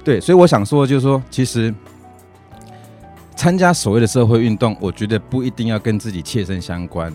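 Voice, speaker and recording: A man's voice: mid-range voice, brisk, warm tone. A man with a Taiwanese Mandarin accent in a casual interview as an activist guest, speaking earnestly and repeating himself for emphasis. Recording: music playing behind the voice.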